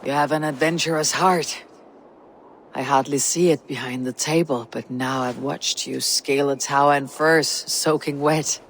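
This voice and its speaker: An actress playing a stoic, loyal female Viking raider with a measured, plain-spoken manner in a video game. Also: rumbling low, steady tone, a little hiss or hum. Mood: amused. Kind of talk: video game cutscene acting.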